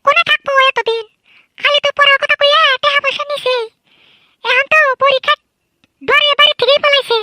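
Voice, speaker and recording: A woman's voice: extremely high pitch, steady tone, a little fast, long pauses, pushed and bright, slight background noise, full character voice. A woman voicing a snappish, scolding village wife and mother in a comedy cartoon, curt and blaming. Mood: irritated.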